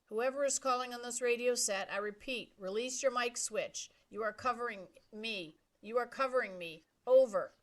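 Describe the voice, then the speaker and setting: A woman's voice: on the high side, normal speed, hardly any pauses, fairly bright tone, pitched above the performer's own voice, lightly acted. A woman voicing an adult male radio operator speaking in clipped, formal radio procedure. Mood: brisk.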